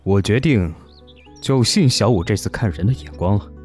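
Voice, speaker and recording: Audio drama: protective eldest brother, male actor, low voice, polite and earnest, music underneath. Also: expressive, moderate pace, darkish tone, lightly acted. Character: protective, courteous eldest brother of a young man. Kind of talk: Chinese audio drama acting.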